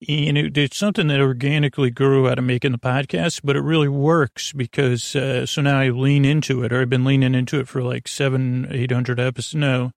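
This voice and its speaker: A man hosting a bedtime podcast in a halting, meandering monologue that keeps drifting into tangents, with a creaky, gravelly voice. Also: mid-range voice, little pitch movement, no echo, clean studio sound. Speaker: podcast host.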